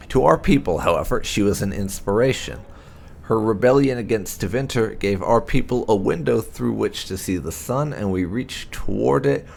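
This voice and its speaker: Creaky-voiced man narrating fantasy lore. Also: lowish voice, a little mellow. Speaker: gaming channel host reading game lore aloud. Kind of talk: scripted lore narration, read aloud.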